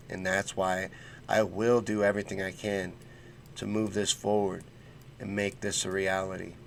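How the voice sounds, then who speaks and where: A man's voice: fairly low pitch, creaky, slight background noise. A man hosting a casual political livestream, reading chat comments and talking bluntly with fired-up conviction and folksy slang.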